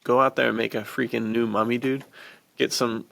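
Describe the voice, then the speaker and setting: A man's voice: mid-range voice, level tone, fairly quick, creaky, a bit bright. A man co-hosting a podcast, chatting casually and enthusiastically in slangy, informal wording. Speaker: podcast co-host.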